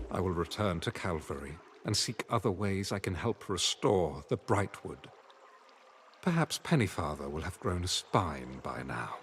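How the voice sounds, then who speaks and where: An actor playing a weary, honorable older man in a fantasy video game, speaking in a formal, solemn, measured way. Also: sits low, a bit bright, a little hiss or hum, exaggerated voice. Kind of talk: scripted video game dialogue.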